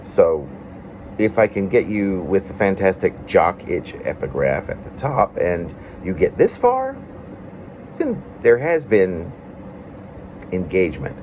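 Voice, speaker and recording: A man with a very low voice reads his own reflective poem aloud. His intonation moves around a lot as he goes through formal, halting phrases. A steady background hum runs under the amateur recording. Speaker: poet reading own work. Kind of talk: reading a confessional prose-like poem aloud.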